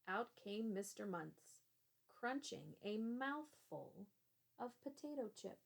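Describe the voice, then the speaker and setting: Casting: female teacher narrating a children's book, unhurried, some vocal fry, expressive storyteller. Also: fairly high pitch. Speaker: teacher reading aloud.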